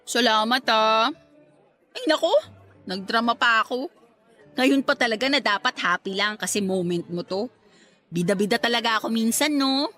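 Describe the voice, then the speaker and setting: A woman acting a sentimental young working woman who hopes to teach, earnest and expressive, in a radio drama. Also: on the high side, moderate pace. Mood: teasing, affectionate. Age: young adult.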